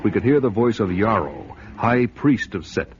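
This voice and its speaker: A man's voice: lowish voice, animated, moderate pace, background noise, close to an everyday voice. An actor playing a bold barbarian hero, narrating his adventure in grandiose, dramatic radio style. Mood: ominous, awed. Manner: grandiose, storytelling. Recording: dull, band-limited radio recording, music behind.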